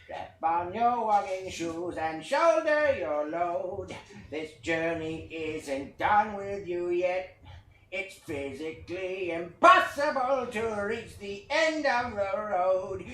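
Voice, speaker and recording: Woman as a lively, breezy scavenging wanderer. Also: lowish voice, slow. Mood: encouraging. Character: worldly, breezy scavenger and wanderer who loves trash. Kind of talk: theatrical staged play reading. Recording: roomy sound with faint noise.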